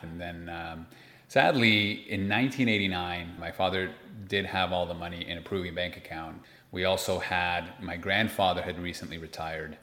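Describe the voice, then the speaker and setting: Deep-voiced man in an echoey room. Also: bright, forceful tone. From Lima, Peru. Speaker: interview guest, investment manager. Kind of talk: conversational personal storytelling. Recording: roomy, echoey sound.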